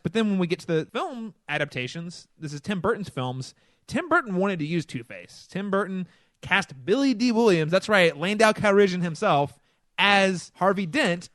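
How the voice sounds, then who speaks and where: A man with a fairly high voice in a casual podcast conversation. He talks with enthusiasm and an agreeable, chatty manner. The recording is dry, with very little room sound. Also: fairly bright tone, dry recording. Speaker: podcast co-host and film critic.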